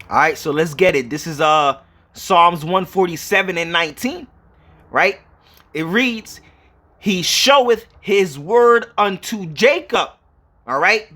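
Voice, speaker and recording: A man's voice: a little high, pushed and bright. A man preaching informally, reading scripture and explaining it emphatically, repeating himself and checking that listeners follow. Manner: emphatic and repetitive, punctuated with check-in questions.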